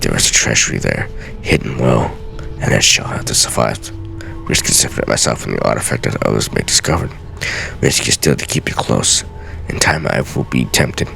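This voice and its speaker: An old master assassin, performed by a man, recites his journal with theatrical gravity. The voice is extremely low and thick with gravel, over clear background music. He sounds weary and solemn, measuring each phrase like a game's storyteller. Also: lively intonation, moderate pace, fairly bright tone, exaggerated voice. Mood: grave, troubled. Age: older. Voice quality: very deep, gravelly.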